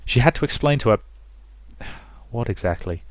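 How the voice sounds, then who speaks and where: A man's voice: mid-range voice, lively intonation, moderate pace, no room sound. A man reading a visual novel aloud as dramatic narration for a let's play. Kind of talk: dramatic storybook-style narration with commentary.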